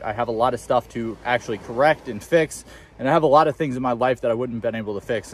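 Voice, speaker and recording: Fast-talking eighteen-year-old motivational vlogger. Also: male voice, mid-range voice, a little hiss or hum. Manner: fast, emphatic self-help preaching. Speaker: young entrepreneur vlogger. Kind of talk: motivational vlog talk to camera. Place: outdoors on a beach.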